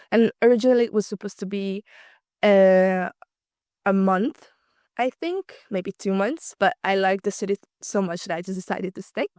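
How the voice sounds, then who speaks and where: A woman's voice: mid-range voice, darkish tone, clean tone, dry recording, clean studio sound. A woman in her twenties from Slovakia, a native Hungarian speaker, chatting casually as a podcast guest with frequent ums and light laughs. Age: 20s. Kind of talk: casual conversational interview.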